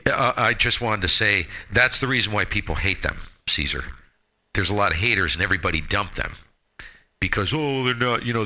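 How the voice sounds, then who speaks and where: Deep, bright-voiced man, blunt and emphatic. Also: gravelly. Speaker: amateur radio operator hosting a live stream. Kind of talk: casual opinionated chat on a ham radio net.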